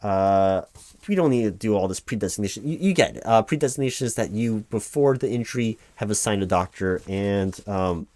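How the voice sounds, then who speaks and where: A man with a fairly low voice, recorded close and dry. He plays an office administrator in an ASMR roleplay, reading paperwork aloud and explaining it. He stammers, restarts and repeats himself to reassure the listener. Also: fairly quick, darkish tone, dry recording. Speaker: claims administrator in a one-sided roleplay.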